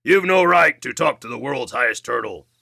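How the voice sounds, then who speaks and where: Loud bossy turtle king bragging and barking orders, big bright voice , a man playing him. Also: medium pitch, expressive, normal speed, gravelly, dry recording, clean studio sound, heavily acted. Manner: pompous, barking, boastful. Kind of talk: storybook read-aloud.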